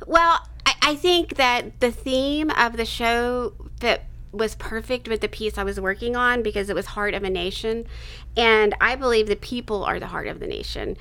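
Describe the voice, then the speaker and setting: Gravelly, bright-voiced woman in her fifties. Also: on the high side, normal speed. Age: 50s. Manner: rambling, self-interrupting, laughs between thoughts. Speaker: interview guest, artist. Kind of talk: casual conversational interview.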